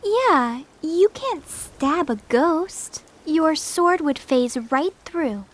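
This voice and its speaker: A woman's voice: high voice, lively intonation, very slow, full character voice. A woman playing a playful, politely teasing young woman in an audio drama.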